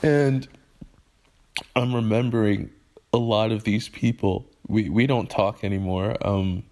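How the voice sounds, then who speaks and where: A young man in his early twenties with a lowish voice talks slowly to camera. He vents in a halting, emotional way and keeps starting his sentences over. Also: fairly even intonation, a little mellow. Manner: halting and emotional, with frequent restarts. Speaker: vlogger. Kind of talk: personal venting monologue.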